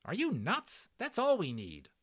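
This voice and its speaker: A man acts a practical young English boy in a children's storybook. The voice is pushed higher and jumps around. He is blunt, impatient and teasing. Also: wildly swooping pitch, measured pace, exaggerated voice. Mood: teasing, incredulous. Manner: blunt, exclamatory.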